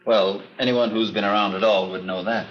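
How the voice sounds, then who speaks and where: An actor playing a needling, sardonic defense attorney in an old radio drama, cross-examining in a mocking, knowing way. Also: male voice, on the low side, fairly even intonation, brisk, close to an everyday voice. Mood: sardonic, amused. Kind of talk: 1950s radio drama acting. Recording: muffled, clearly noisy old radio recording.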